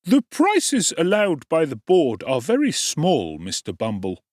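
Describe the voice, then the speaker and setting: Man voicing a gaunt undertaker, jocular, high wheedling whine, lively swooping pitch, dry close sound. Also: a little slow, no echo, full character voice. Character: gaunt jocular male undertaker, middle-aged.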